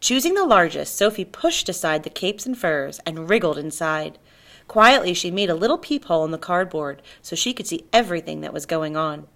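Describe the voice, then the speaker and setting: A woman narrating a children's story aloud in an expressive storytelling style. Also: on the low side, normal speed. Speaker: storybook reader.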